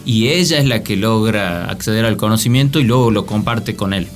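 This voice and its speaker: A man's voice: on the low side, fairly quick. A man with an Argentine accent in casual, humorous conversation as a radio show panelist. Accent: Argentine Spanish. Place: radio studio with music behind.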